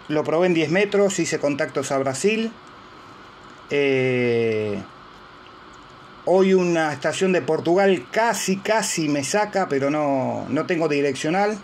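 An Argentine man presents radio gear in an informal way, taking his time with each phrase. His voice sits a little high, and faint noise runs behind him on the handheld phone recording. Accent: Argentine Spanish. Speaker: amateur radio operator and presenter. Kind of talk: informal equipment demonstration and radio contacts. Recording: clear background noise, handheld phone video.

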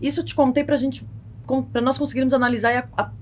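Expressive Brazilian woman, noticeable noise. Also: sits high, fast. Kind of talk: casual panel discussion. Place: small padded podcast studio. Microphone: close boom-arm studio microphone.

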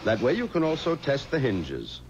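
An actor playing a proper, skeptical undertaker and boss in a radio mystery, courteous but commanding. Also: male voice, medium pitch, wildly swooping pitch, moderate pace, darkish tone. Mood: courteous, firm. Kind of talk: 1970s radio mystery acting. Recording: dull old radio recording with noise.

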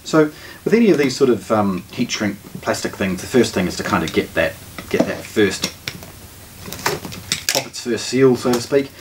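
A man's voice: mid-range voice, animated, moderate pace, long pauses. A middle-aged man, giving an unscripted product unboxing commentary as a presenter. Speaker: shop presenter, product reviewer. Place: small indoor listening room with shelves. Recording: distant camera mic, background noise, clicks.

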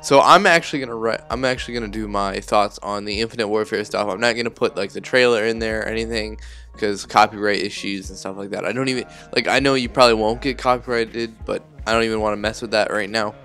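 A man's voice: fairly low pitch, fairly even intonation, quick pace, a bit bright, soft background music. A young man who says he is sick and groggy, rambling casually as a gaming YouTuber over gameplay footage. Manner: rambling, chatty, self-correcting.

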